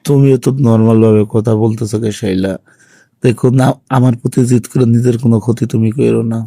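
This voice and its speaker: A man's voice: medium pitch, steady tone, brisk, vocal fry, dark tone, no room sound, lightly acted. A man playing a regretful young lover, pleading in a subdued way in colloquial Bangladeshi Bengali on an emotional phone-call drama.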